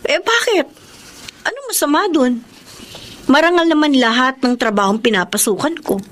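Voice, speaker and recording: A woman's voice: sits high, lively intonation, normal speed, fairly bright tone, slight background noise. A woman playing a self-sacrificing young breadwinner and older sister in a Tagalog radio drama. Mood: weary, defensive. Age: young adult.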